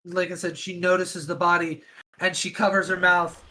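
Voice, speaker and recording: A woman's voice: fairly low pitch, flat delivery, normal speed, pushed and bright, a little hiss or hum, low-quality recording. A giggly, often swearing woman casually narrating an improvised tabletop game as its game master.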